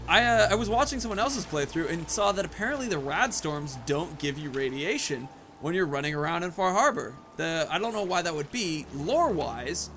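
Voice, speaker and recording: A man's voice: a little high, animated, normal speed, few pauses, a bit bright, a little hiss or hum. A man giving casual let's play commentary as a gaming host, rambling and thinking aloud.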